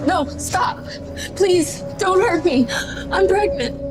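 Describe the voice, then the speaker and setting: A woman's voice: very high-pitched, slow pace, loud music behind the voice. An actress playing a flustered, timid young woman in an audio drama, speaking hesitantly. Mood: terrified.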